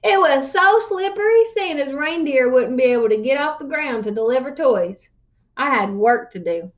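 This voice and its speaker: A woman's voice: sits high, animated, normal speed, highs cut off. A woman voicing a folksy, boastful old man spinning tall tales in a children's storybook read-aloud. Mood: boastful, lively.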